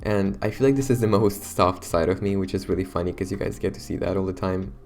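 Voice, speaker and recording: Young man with a hoarse, gravelly voice. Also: low-pitched, fairly even intonation, fast, a little mellow. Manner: chatty, rambling, self-conscious. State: hoarse, losing his voice. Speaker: YouTuber. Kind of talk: casual talk to camera.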